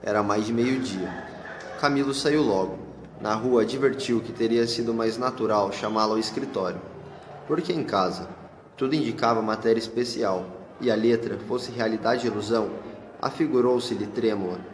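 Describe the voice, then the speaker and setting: A man's voice: on the low side, normal speed. Male radio play narrator in echoey hall. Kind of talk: scripted literary narration. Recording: echoey hall with clear noise.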